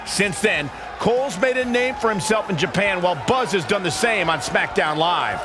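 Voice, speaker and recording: A man voicing an excitable wrestling play-by-play announcer in a video game, with projected, emphatic delivery. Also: sits high, normal speed, a crowd in the background. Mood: dramatic. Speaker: play-by-play commentator.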